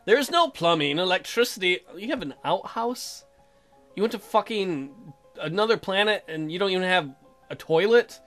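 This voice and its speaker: A man's voice: high-pitched, expressive, normal speed, a bit bright, faint music behind. A man doing crude, sarcastic joking commentary while reading a visual novel aloud as a let's player.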